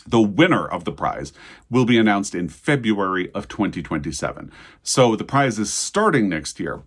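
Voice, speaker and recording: A man hosting a video in a casual, chatty and enthusiastic way, commenting as he talks to the viewer. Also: deep voice. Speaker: host of a book news video channel.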